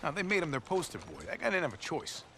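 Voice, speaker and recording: A brash young American soldier jokes with his squad in a game. His voice is fairly high and very animated, set in clear outdoor noise. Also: fast, full character voice. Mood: teasing. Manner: teasing, brash. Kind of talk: casual dramatic banter. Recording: noisy outdoor scene.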